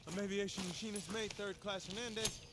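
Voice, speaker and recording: Actor as high-voiced navy mechanic. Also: male voice, fairly even intonation, a little fast, natural voice. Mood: strained, factual. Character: downed navy aviation mechanic, plain-spoken and dutiful. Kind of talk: video game cinematic acting. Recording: heavy noise behind the voice.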